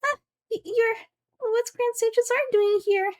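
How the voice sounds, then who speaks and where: A woman voicing a small, excitable, childlike fairy companion from a game, squeaky and nervous. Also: a little slow. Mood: startled. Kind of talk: game dialogue dub.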